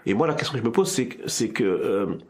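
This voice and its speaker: A man with a mid-range voice hosts a relaxed discussion. He thinks aloud, restarting phrases and repeating words as he builds his point. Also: mid-range voice, fast. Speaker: podcast host. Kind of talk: casual discussion.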